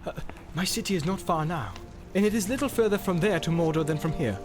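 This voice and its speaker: A man voicing a proud, tall warrior who is grasping and quick to rage, in a booming, declamatory read. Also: lively intonation, faint music behind, natural voice. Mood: persuasive. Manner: booming declamatory delivery, rising to shouts.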